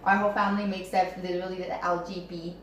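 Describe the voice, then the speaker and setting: A woman's voice: fairly low pitch, little pitch movement, fast talker, a crowd in the background. Chatty woman in an echoey room. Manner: playful, chatty, bantering. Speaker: co-host of book club video. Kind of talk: casual sibling banter. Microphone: camera mic at a distance. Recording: echoey room, distant mic, some noise.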